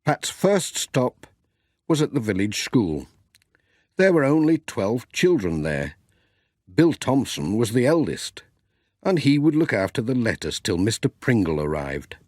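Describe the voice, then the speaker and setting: Male children's audiobook narrator: slow, husky, lilting. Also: no room sound, clean studio sound. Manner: gentle, sing-song, lively pitch. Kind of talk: scripted storybook narration.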